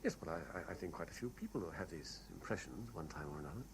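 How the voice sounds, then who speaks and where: An actor with a British accent playing a lonely, self-doubting bachelor in a 1970s radio drama, hesitant and solicitous. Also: male voice, sits low, lively intonation, fast talker. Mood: concerned. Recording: noisy 1970s radio broadcast recording.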